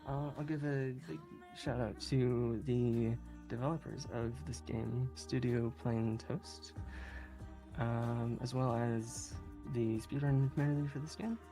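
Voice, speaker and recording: Very low-voiced woman, casual speedrun commentary. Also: fairly even intonation, slow pace, music in the background, low-quality recording.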